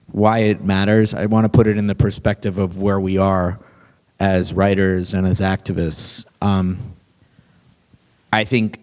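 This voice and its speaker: A man's voice: on the low side, long reverb tail. A man on a writers' panel giving thoughtful closing remarks. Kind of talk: panel discussion. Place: lecture hall.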